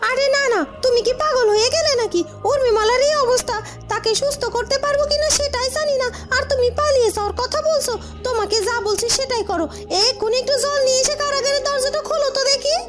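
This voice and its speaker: A performer voicing a frantic, sharp-tongued young maidservant in a cartoon, exclaiming, scolding and pleading. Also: extremely high pitch, fast, over music. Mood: urgent, exasperated. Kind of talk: cartoon dialogue.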